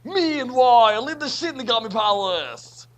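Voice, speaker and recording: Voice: medium pitch, lively intonation, a little slow, background noise. A reader doing a comedic dramatic reading of badly written fan fiction, stumbling and repeating phrases over the garbled text.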